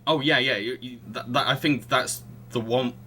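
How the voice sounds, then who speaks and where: A man's voice: mid-range voice, steady tone, moderate pace, pushed and bright, slightly rough. A man hosting a casual film review podcast, opinionated and mocking in manner. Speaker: podcast host.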